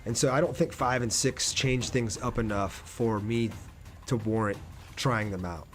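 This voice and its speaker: Male streamer, medium pitch, informal and slangy, casual gameplay commentary with background music. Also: measured pace. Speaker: game streamer.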